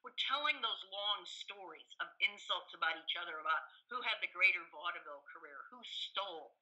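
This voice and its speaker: An older woman being interviewed as an academic expert, explaining enthusiastically and conversationally, with halting, digressive sentences that often restart. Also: fairly low pitch, animated, bright, forceful tone, highs cut off. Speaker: interview guest, professor and author.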